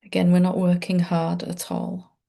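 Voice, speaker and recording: A woman meditation teacher leading a gentle, unscripted guided meditation in a soothing, informal and reassuring way. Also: fairly low pitch, completely flat delivery, moderate pace, gravelly.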